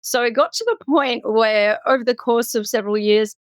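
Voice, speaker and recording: A woman's voice: a little high, moderate pace, no echo. A middle-aged woman from Singapore telling her personal story as an interview guest, articulate and reflective. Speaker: podcast guest.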